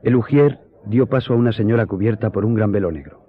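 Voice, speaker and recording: An actor in a radio drama playing an earnest friend who recounts a hearing in formal, measured storytelling. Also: male voice, fairly low pitch, close to an everyday voice. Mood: grave, earnest. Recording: tinny, narrow-band audio.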